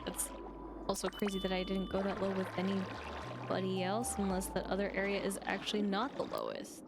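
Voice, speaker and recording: A woman's voice: medium pitch, expressive, normal speed, background noise. A woman streaming a video game, giving casual, rambling commentary as she thinks aloud. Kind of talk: casual live gaming commentary.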